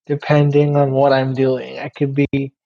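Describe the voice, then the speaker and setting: A man's voice: medium pitch, measured pace, gravelly. A young man from Guyana, a podcast guest chatting casually and laughing easily. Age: young adult. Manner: relaxed, laughs easily, talks in loose run-on phrases. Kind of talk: casual interview chat. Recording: somewhat dull, muffled sound.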